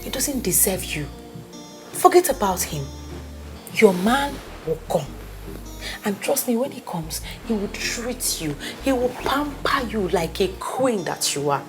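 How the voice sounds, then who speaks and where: A woman's voice: mid-range voice, very animated, measured pace, over music. A woman with a Nigerian accent playing a pushy, caring friend who coaxes and pleads with emphasis. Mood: coaxing. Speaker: consoling friend.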